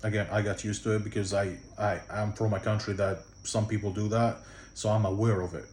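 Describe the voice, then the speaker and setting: A man with an Egyptian accent talks through travel advice in a relaxed, meandering way, stopping often for fillers and restarting his sentences. His voice sits on the low side. The recording has a noticeable echo, as if made in a bare room. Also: a little fast, slight background noise. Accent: Egyptian Arabic. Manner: loose, rambling, with many fillers and restarts. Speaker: travel vlogger. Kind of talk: casual travel tips to camera.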